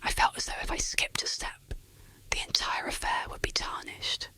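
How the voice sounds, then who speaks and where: Someone narrating an ASMR horror story in a whisper, with deliberate, dramatic delivery. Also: rough recording.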